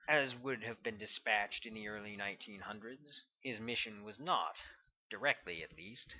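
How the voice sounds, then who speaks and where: A man narrating his own novel as a scripted audiobook. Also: on the low side. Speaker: author reading own audiobook. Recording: dull, lo-fi recording.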